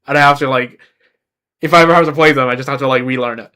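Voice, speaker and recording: A man's voice: mid-range voice, fairly even intonation, fast, bright, forceful tone, clean tone. A young man, a native Chinese speaker from Beijing, chatting casually as a game streamer in a rambling, self-correcting way. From China (Beijing).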